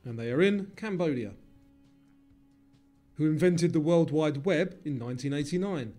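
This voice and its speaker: A man's voice: a little high, animated, moderate pace, warm tone, faint music behind. A man presenting a quiz, reading scripted questions and answers.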